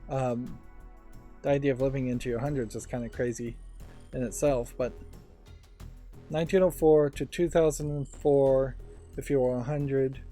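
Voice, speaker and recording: A man doing casual gameplay commentary and reading aloud, halting as he thinks out loud. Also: mid-range voice, fairly even intonation. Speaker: let's play host. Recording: quiet game music behind. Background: game music.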